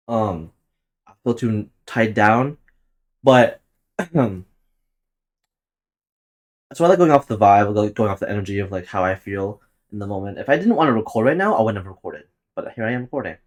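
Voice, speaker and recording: A man about to turn twenty, hosting a solo podcast and rambling loosely with plenty of swearing and laughing at his own jokes. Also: lowish voice. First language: Tagalog. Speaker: podcast host. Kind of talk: casual solo podcast monologue.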